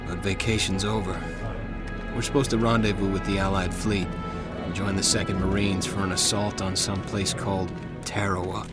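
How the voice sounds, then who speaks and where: A man's voice: deep voice, little pitch movement, moderate pace, over loud music, close to an everyday voice. An actor playing a war-weary young American Marine, narrating his campaign in a subdued, plainspoken, reflective way. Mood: matter-of-fact. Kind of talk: scripted first-person narration.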